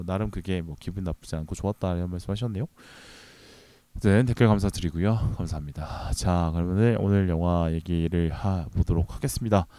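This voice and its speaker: Low-voiced man rambling on his podcast. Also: no echo. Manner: relaxed, rambling, many fillers and trailing remarks. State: recovering from a cold. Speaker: podcast host. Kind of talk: casual solo movie podcast talk.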